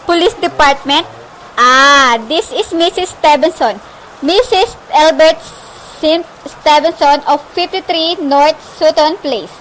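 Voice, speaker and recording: A woman's voice: sits high, slow pace, a bit bright, poor audio. A woman playing an anxious, demanding, indignant married woman on the telephone in an amateur radio play. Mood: agitated, demanding. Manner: fretful, demanding, exclaiming. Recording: faint background noise, amateur home recording.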